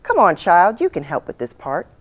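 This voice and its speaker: A woman's voice: lowish voice, huge pitch swings, normal speed, highs cut off. A woman voicing an affectionate, folksy favorite aunt in a children's storybook, coaxing fondly. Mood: affectionate, bossy.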